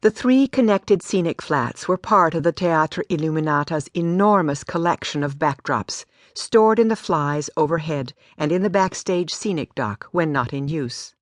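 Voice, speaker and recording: A woman's voice: sits low, animated, normal speed, few pauses, no room sound, studio quality. A woman giving a scripted story narration as an audiobook narrator. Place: dry studio.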